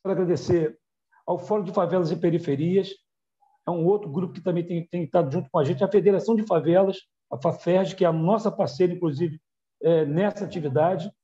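Casting: male community leader, Rio accent, high mellow voice, halting speech-giving over lo-fi call. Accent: Rio de Janeiro. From Rio de Janeiro, Brazil. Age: middle-aged. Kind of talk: thank-you speech on a video call. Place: small room on a video call. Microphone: wired earphone mic. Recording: dull, lo-fi video call audio.

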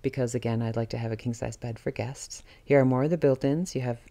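Lady showing round her old cottage, mellow low-key voice, chatty and rambling, laughs a bit. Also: fairly even intonation, fast. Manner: chatty, meandering, with small laughs and restarts. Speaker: homeowner giving a house tour. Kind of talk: casual narrated walk-through. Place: old wooden cottage, indoors.

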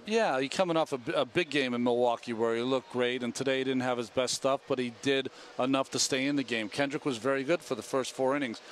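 A man's voice: mid-range voice, fairly even intonation, fast, few pauses, a little hiss or hum. A man working as a studio analyst, breaking down the game on a headset mic in a TV studio. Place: TV studio booth.

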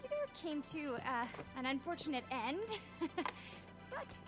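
An actress playing a frazzled, resentful young production assistant with an awkward, sarcastic way of talking in a video game. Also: female voice, sits high, big pitch swings, measured pace, music in the background. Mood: awkward explaining.

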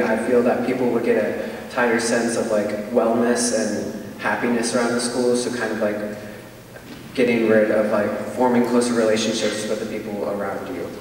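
A teenage male student giving a presentation on stage in a large hall. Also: fairly low pitch. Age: teens. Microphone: far camera. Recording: very echoey hall, distant, faint hiss.

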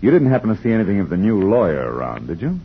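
An actor playing a wry, hard-boiled private detective who narrates, in a 1950s radio drama with a dull vintage sound. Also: male voice, lowish voice, big pitch swings, racing, slight background noise. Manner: dry, laconic, wisecracking.

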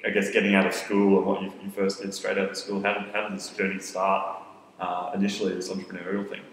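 Deep-voiced young interviewer in echoey room. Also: male voice, quick pace, a little hiss or hum. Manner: brief affirmations, relaxed questions. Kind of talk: casual interview. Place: studio set with armchairs. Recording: echoey room with long reverb.

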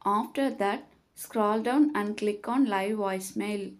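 A woman reading scripted step-by-step tutorial narration in a measured, instructional manner. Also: medium pitch.

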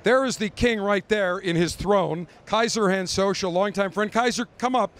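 Brash high-voiced showman host. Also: a bit bright. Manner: brash, joking, fast-talking showman. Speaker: radio show host touring a trade show. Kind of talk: live roving interviews and walkthrough. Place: large indoor convention hall. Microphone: handheld interview mic.

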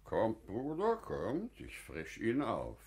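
An actor playing a courteous, cautious older merchant in a radio drama, speaking deliberately and with some hesitancy. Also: male voice, lowish voice, huge pitch swings, darkish tone, full character voice. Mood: courteous welcome. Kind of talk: classical German radio drama acting.

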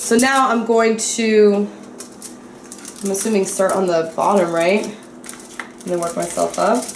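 A woman's voice: mid-range voice, steady tone, measured pace. Young woman, bubbly home-cook vlogger, echoey kitchen, faint room hum. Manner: bubbly and dramatic. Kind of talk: casual cooking vlog.

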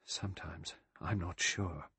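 A man's voice: low voice, steady tone, slow pace, bright, forceful tone, slightly rough, no room sound, full character voice. A man voicing a frightened, desperate woman in an audiobook, with urgent and anxious pleading. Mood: uneasy, hushed.

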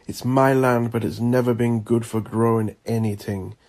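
A man's voice: medium pitch, steady tone, moderate pace, darkish tone, close to an everyday voice. Man putting on an emphatic elderly island woman. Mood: matter-of-fact. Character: elderly, nimble Caribbean island woman, firm and forewarning.

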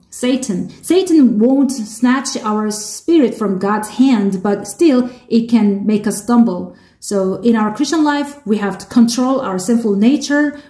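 A woman with a Korean accent interpreting a sermon into English, measured and halting, with restarts. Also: medium pitch, mellow, reverberant.

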